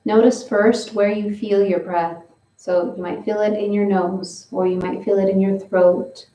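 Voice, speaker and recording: A woman leading a guided breathing and stretching session, giving slow, gentle step-by-step instructions. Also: medium pitch, fairly even intonation, distant mic. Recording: roomy, from away from the mic.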